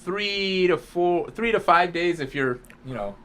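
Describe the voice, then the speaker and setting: A man's voice: high voice, expressive, unhurried, a bit bright. An American man co-hosting a podcast, chatting casually and telling anecdotes in an informal, loosely phrased way. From Southern California, United States. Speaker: podcast co-host. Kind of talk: casual conversational chat.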